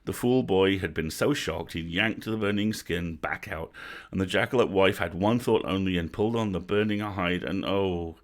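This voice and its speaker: A man narrating a bedtime story from a script as an expressive storyteller. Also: low-pitched, normal speed, few pauses. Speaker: storyteller, host.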